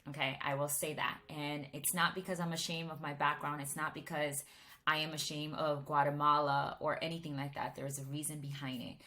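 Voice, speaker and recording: A woman in her thirties with a low, deep-set voice tells her personal testimony straight to camera. She keeps it easygoing and chatty and often restarts a sentence partway through. She grew up in Miami. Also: flat delivery, brisk, pushed and bright. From Miami, Florida, United States. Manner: casual and conversational, with frequent restarts.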